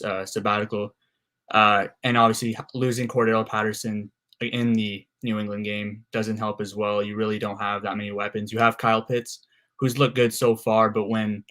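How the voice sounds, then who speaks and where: A man from Canada, a guest co-host giving casual sports analysis in a steady, measured way with frequent "uh"s. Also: on the low side.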